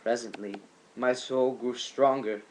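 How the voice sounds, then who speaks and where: A man giving an amateur dramatic poetry reading, theatrical and drawn out, moving between hushed and loud delivery. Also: mid-range voice, fairly even intonation, unhurried, very noisy. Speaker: amateur reader of a poem.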